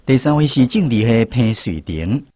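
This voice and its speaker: A man with a Taiwanese accent and a slightly husky voice, hosting a language-teaching segment on radio. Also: mid-range voice, very animated, normal speed, dark tone. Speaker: radio programme host.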